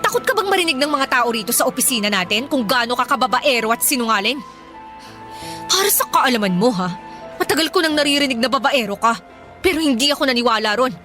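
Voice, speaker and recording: Milet, high-voiced outspoken young Filipino woman. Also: lively intonation, quick pace, bright, forceful tone. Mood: indignant. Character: Milet, wronged young female office worker, outspoken and indignant. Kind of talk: Filipino radio drama acting. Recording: background music under the voice.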